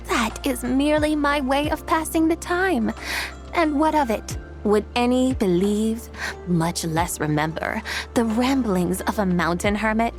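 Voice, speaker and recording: Haughty, high-voiced immortal mountain hermit woman. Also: lively intonation, a little slow. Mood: skeptical, coy. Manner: theatrical, archaic formal phrasing, condescending. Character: haughty, teasing, carefree female mountain hermit adeptus. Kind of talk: scripted video game voice acting. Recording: music under the voice.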